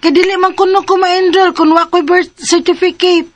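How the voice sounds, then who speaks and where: A woman's voice: very high voice, little pitch movement, moderate pace, dry recording. An actress playing an earnest fifteen-year-old girl who pleads, in a radio drama. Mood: eager, insistent. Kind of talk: radio drama acting and narration. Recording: radio drama with music behind.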